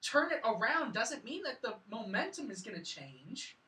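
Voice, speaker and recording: A woman's voice: a little high, sing-song, moderate pace, pushed and bright. A woman chatting casually as a film show co-host, recapping and critiquing in an exasperated way, recorded in an echoey room away from the mic. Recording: roomy echo, distant from mic.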